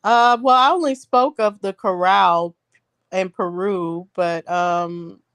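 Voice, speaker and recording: A woman's voice: medium pitch, steady tone, slow, fairly bright tone, smooth, dry recording. A woman moderating a casual group discussion, friendly but directive as she manages who speaks.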